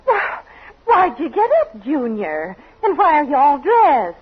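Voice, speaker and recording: An actress playing a loving, worried and exasperated wife and mother in a 1940s radio comedy, expressive and fretful. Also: sits high, a little slow, heavily acted. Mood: shaken, reproachful. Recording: muffled old radio recording with hiss.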